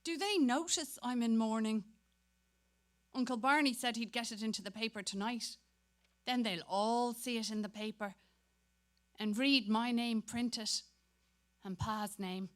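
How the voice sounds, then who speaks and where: A woman's voice: a little high, measured pace, long pauses, natural voice. Irish schoolboy voiced by a woman: working-class, colloquial, grumbling inner monologue for a dramatic stage reading. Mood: wondering, subdued. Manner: colloquial, slangy interior monologue.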